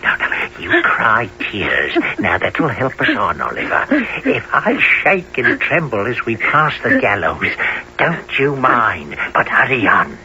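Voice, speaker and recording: Wily, rambling old condemned crook. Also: male voice, medium pitch, wildly swooping pitch, slow, sharp tone, dull audio, slight background noise, heavily acted. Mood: wheedling. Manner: muttering, theatrical, erratic outbursts. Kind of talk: radio play acting.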